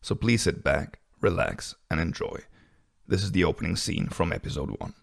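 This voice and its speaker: A man in his forties with a low voice and heavy vocal fry. He delivers a scripted introduction as an author presenting his own project, and his pitch moves around in a lively way. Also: normal speed.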